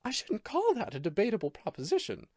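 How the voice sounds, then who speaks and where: A man's voice: high voice, wildly swooping pitch, fairly quick, a little mellow, no room sound, clean studio sound, pitched above the performer's own voice, heavily acted. A man voicing a cool, scornful young woman who speaks with mocking disdain. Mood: teasing.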